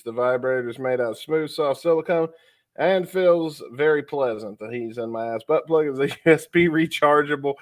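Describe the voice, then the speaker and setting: A man's voice: medium pitch, no echo. A man co-hosting a podcast, chatting casually and profanely with many fillers, sounding stuffy and sniffly from being sick. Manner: loose and profane, lots of fillers. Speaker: podcast co-host.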